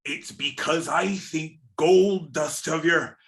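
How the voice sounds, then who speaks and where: A menacing one-legged pirate villain talks in old sailor dialect. The delivery is slow and deliberate, with a sharp, forceful edge. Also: medium pitch, fairly even intonation. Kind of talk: radio play acting.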